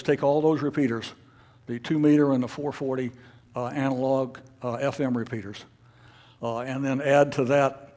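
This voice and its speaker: Male club officer addressing members informally; average pitch, hesitant, meandering delivery full of fillers and false starts. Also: darkish tone. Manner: halting, rambling, frequent uhs and false starts. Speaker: amateur radio club officer presenting at a meeting. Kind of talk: informal meeting presentation.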